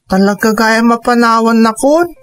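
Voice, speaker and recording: A woman's voice: on the high side, moderate pace, no room sound, soft background music, close to an everyday voice. A woman voicing an anxious young boy who keeps asking pleading questions in a radio drama. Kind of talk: Ilocano radio drama acting.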